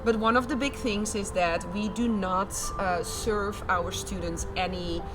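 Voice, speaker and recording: A woman's voice: mid-range voice, steady tone, measured pace, over music. A woman from the Netherlands with a Dutch accent, a school director talking casually outdoors with many fillers. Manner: chatty, laughs easily, many fillers. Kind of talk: casual interview.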